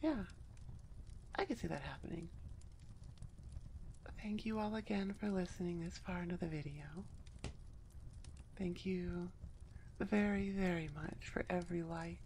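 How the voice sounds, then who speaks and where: A woman's voice: fairly low pitch, unhurried, long pauses, darkish tone, slight background noise. A woman audio roleplay creator chatting casually to her listeners up close on the mic, in a rambling, wry, self-deprecating way. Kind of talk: casual outro chat to listeners. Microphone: close microphone.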